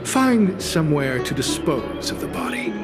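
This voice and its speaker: An actor playing a calm, coolly sinister cult recruiter in a video game, speaking formally with polite menace. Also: male voice, on the high side, moderate pace, over loud music. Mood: smugly approving. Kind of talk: scripted video game cutscene acting.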